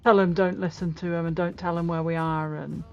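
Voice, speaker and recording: Low-voiced woman playing a tabletop game. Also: little pitch movement, brisk. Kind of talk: casual chat. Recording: muffled lo-fi audio with music behind. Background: background music.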